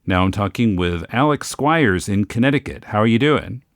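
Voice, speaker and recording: A man's voice: on the low side, expressive, moderate pace, noisy background. A man hosting a podcast, chatting casually and asking questions. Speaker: podcast host. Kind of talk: casual chat and interviewing.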